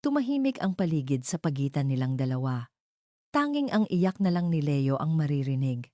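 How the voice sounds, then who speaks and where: A woman tells a dramatic love story as a scripted narrator. Her voice sits low and has a warm, mellow tone. The recording is dry, with the voice free of room echo. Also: very animated, normal speed.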